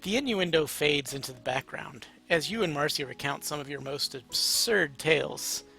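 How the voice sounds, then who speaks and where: A man's voice: a little high, expressive, normal speed, creaky, bright, forceful tone, soft background music. A man narrating a story aloud in a casual, amateur, playful way. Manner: informal, playful.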